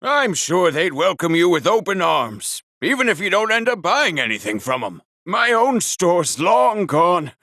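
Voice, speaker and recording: An actor playing a friendly, rueful former shopkeeper in a video game, politely coaxing travelers. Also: male voice, sits high, big pitch swings, a little slow, few pauses, pushed and bright, dry recording, clean studio sound, exaggerated voice. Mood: hopeful, wistful. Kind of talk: video game character dialogue.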